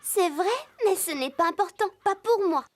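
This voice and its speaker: A woman playing a fashion-loving teenage girl, proud but affectionate, in a French cartoon dub, expressive and girlish. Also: very high-pitched, moderate pace. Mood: touched, sincere. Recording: music behind the voice.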